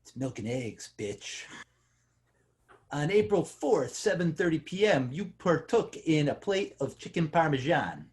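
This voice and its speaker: An actor playing a cocky, loud, officious vegan police officer in an amateur table read. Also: mid-range voice, big pitch swings, unhurried. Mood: officious, smug.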